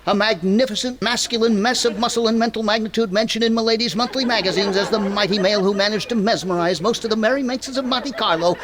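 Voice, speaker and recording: A man's voice: high voice, fast talker, few pauses, a crowd in the background, natural voice. A man playing a boastful, stubborn, folksy middle-aged husband in 1940s radio comedy style, blustering and stammering in protest. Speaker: actor in a radio comedy recreation. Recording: faintly noisy recording.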